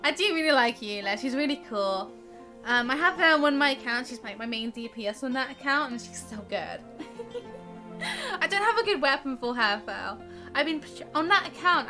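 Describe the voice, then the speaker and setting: A chatty, giggly, expressive woman live streaming a game in casual chat. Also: on the high side. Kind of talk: casual livestream chat with reactions and read-along comments. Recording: game music behind the voice. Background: game music.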